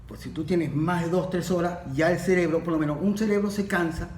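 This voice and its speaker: A man's voice: a little high, steady tone, fairly quick, darkish tone. A man explaining his points in a podcast discussion, talking conversationally and repeating phrases for emphasis. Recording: echoey room, off-mic, faint noise.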